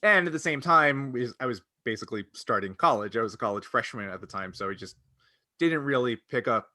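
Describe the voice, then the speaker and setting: Bright-voiced man reminiscing casually. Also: medium pitch, lively intonation, fairly quick, dry recording, studio quality. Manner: rambling, hesitant, self-interrupting. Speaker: podcast co-host. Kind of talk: casual chat, personal reminiscing.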